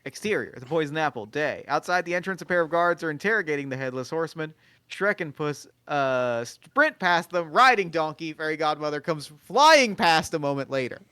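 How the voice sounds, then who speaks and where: A man reading stage directions aloud at a table read, in a quiet, even voice. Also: a little high, brisk, clean tone, no echo.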